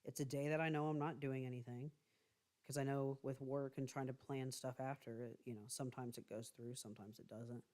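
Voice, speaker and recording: A man with a light, higher voice that callers sometimes take for a woman's, rambling through casual stories. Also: brisk. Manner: rambling, relaxed storytelling. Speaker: stream co-host. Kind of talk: casual chat.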